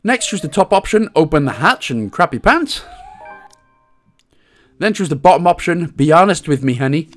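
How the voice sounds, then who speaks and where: A Welsh man hosts a casual video game guide. His voice sits a little high and swings about expressively, with cheeky asides thrown in. Faint music plays behind him. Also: big pitch swings, normal speed, long pauses, fairly bright tone. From Wales. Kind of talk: casual walkthrough commentary.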